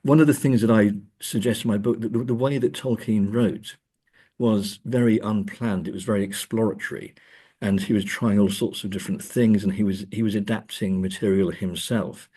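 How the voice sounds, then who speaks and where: A man's voice: on the low side, steady tone, brisk, dark tone, breathy edge. A man speaking as an academic guest in a discursive interview, hesitant and often repeating words as he thinks.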